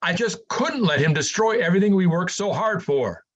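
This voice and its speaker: A woman's voice: on the low side, animated, moderate pace, lo-fi sound. A woman playing a guilt-ridden, defensive scientist with a faltering, pleading manner in a staged play reading. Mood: panicked, defensive.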